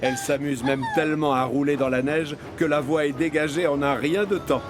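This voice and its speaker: A man narrating a children's story from a script in an expressive storytelling manner. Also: on the high side, a little fast, over music.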